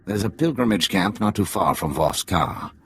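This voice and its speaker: A deep, gravelly undercover agent. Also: male voice, expressive, moderate pace, a bit bright, no room sound, heavily acted. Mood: cautionary. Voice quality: gravelly, slightly husky. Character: undercover Imperial agent posing as a native, wry, shrewd. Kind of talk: video game cutscene acting.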